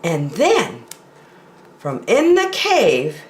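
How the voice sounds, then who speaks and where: A woman reads a children's picture book very slowly in a lowish, highly animated voice. She leaves dramatic pauses between phrases, and the room is echoey. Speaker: children's story time reader. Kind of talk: expressive picture-book reading.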